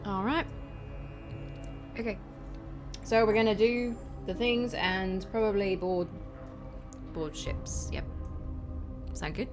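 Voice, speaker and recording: A woman's voice: medium pitch, takes it slowly, pauses often. A woman playing a tabletop roleplaying game, chatting casually and improvising in character. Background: music.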